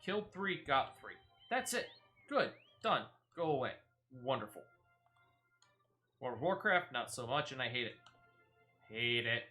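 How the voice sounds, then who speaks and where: Male game streamer, chatty and emphatic, bright tone, very animated intonation, off-the-cuff commentary. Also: mid-range voice, measured pace, pauses often, soft background music. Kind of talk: casual live gameplay commentary.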